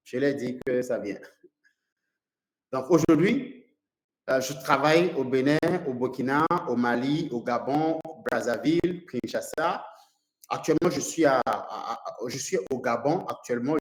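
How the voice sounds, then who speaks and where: A man from Nigeria whose first language is English, a consultant and coach giving a seminar to a live audience, speaking haltingly with many fillers and repeated words. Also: medium pitch, reverberant, low-quality recording.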